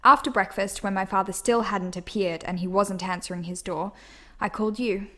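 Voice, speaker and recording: Woman as principled heiress suspect, medium-pitched voice, earnest, defensive, radio mystery testimony. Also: fairly even intonation, normal speed, pushed and bright, soft background music, lightly acted. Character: defensive, principled tall daughter of a murdered tycoon.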